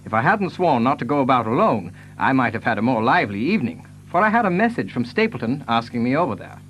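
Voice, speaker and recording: A polite, trusting gentleman heir in an audio drama. He speaks frankly in a mid-range voice with animated pitch, over a faint noisy hum. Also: fast talker, highs cut off. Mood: restless. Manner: plain-spoken, courteous.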